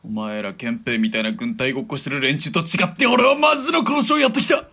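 An actor playing a hot-tempered, mocking killer and ex-gang boss in an audio drama, with rough slangy speech. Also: male voice, medium pitch, expressive, brisk, background noise, lightly acted. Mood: menacing.

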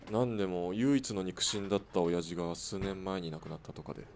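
A man's voice: low voice, fairly even intonation, a little fast, darkish tone, slight background noise. A man acting in a radio drama as a stoic outlaw sniper who speaks in a terse, matter-of-fact way. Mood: dry.

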